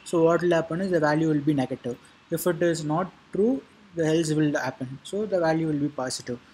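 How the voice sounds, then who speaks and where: A man narrating an informal software tutorial in a halting, repetitive way, with a husky voice. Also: on the high side, rough recording. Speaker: tutorial presenter.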